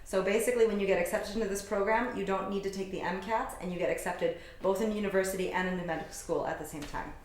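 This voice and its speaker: A woman's voice: mid-range voice, fast, few pauses, darkish tone, slight background noise. A young adult woman from the United States, a guest chatting with enthusiasm in a casual interview. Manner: upbeat, enthusiastic. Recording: echoey room.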